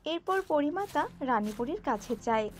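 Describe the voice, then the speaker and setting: A woman's voice: high-pitched, expressive, normal speed, faint music behind. A woman narrator reading a scripted storybook tale for a cartoon.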